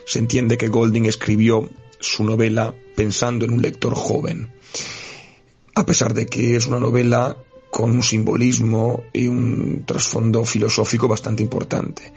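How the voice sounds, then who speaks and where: A man with an Italian accent, a guest philosophy teacher, talking in a hesitant way with many pauses and fillers. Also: mid-range voice, completely flat delivery, vocal fry, darkish tone, faint music behind. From Italy. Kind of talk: casual spoken commentary.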